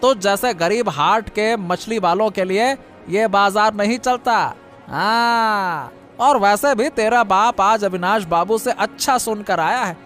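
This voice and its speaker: A man's voice: sits high, slow, a bit bright, soft background music. A man voicing a boastful, scheming village fish seller in a cartoon story, with a taunting manner. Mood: mocking. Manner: mocking, taunting.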